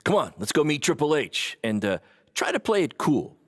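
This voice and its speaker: An actor playing an upbeat, defensive boss of a small wrestling promotion in a video game, reassuring and folksy. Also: male voice, on the high side, very animated, normal speed, a bit bright, no echo, studio quality. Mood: encouraging. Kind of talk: video game cutscene acting.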